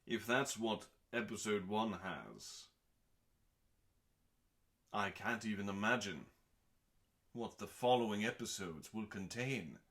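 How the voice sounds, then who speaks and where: A man reading scripted comic narration in a mock-dramatic, pompous manner, pausing deliberately for effect. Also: lowish voice, animated, fairly bright tone. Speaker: host reading comedic cookbook recipes.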